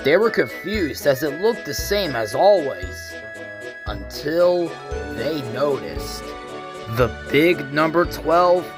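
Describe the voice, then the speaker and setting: Male narrator for a children's tale: measured, dramatic, unhurried read over a clear music bed. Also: a little high, lively intonation. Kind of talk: scripted children's story narration. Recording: prominent music behind the voice.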